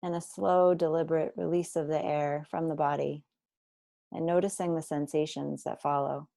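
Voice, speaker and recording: A woman guiding a body scan meditation, speaking slowly and deliberately with gentle instructions and long pauses. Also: sits low, flat delivery, vocal fry, no echo. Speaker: meditation teacher.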